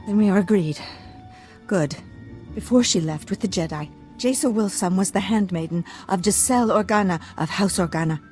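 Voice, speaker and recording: Game role: actress as proud, anxious aristocratic lady, mid-pitched, formal and earnest, light music behind. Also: normal speed, a little mellow, lightly acted. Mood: composed, earnest. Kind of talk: video game character acting.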